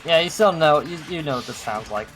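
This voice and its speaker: A man's voice: on the high side, animated, fairly quick, fairly bright tone, soft background music, rough recording. A man chatting casually as a friend on a game stream. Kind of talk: casual voice chat.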